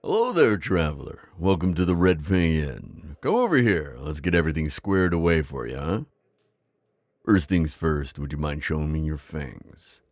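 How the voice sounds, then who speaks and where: Guy acting as a kindly old vampire innkeeper for asmr roleplay, super deep and gravelly, close dry sound, welcoming. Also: very animated, normal speed, dry recording, full character voice. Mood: welcoming, curious. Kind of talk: ASMR roleplay, intimate acted monologue.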